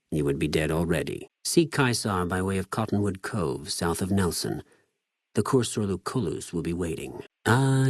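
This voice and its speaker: An actor playing a cold, cunning spy of a Roman-styled slaver army in a video game, speaking in a measured, formal, menacing way with precise diction. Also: male voice, sits low, a little mellow, breathy edge, dry recording, studio quality, full character voice. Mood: calm, condescending. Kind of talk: scripted video game character dialogue.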